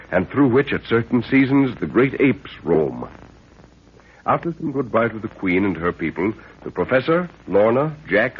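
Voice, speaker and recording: A man's voice: lowish voice, slightly rough. A man narrating a 1930s radio serial as announcer, in a portentous, theatrical scripted style. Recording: muffled, noisy 1930s radio recording.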